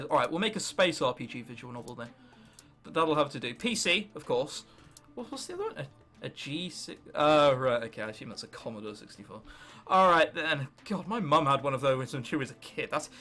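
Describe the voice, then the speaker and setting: A man's voice: fairly high pitch, very animated, fairly quick, sharp tone, soft background music. A 19-year-old man with an English accent doing casual, sarcastic game commentary with mock bravado, sometimes reading on-screen text aloud. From England. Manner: sarcastic, self-mocking bravado, casual swearing. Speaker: gaming video host.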